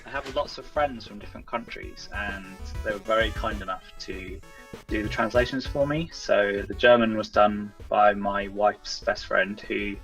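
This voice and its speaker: A man's voice: on the low side, normal speed, fairly bright tone, distant mic, faint music behind, rough recording. A man who is a native English speaker, chatting casually as a guest game developer in an interview over a remote call.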